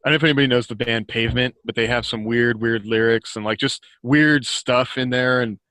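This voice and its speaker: Bright-voiced American man chatting informally. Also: mid-range voice, fairly even intonation, brisk, no room sound, rough recording. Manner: informal, chuckles often while talking. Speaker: author, podcast guest. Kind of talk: casual chat, anecdotes.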